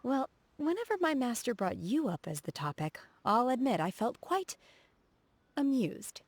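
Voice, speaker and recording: A woman voicing a reserved, earnest, socially awkward young female martial disciple in a video game, speaking in a formal, measured way. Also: on the high side, big pitch swings, heavily acted. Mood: gently amused. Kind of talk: video game character voice acting. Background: background music.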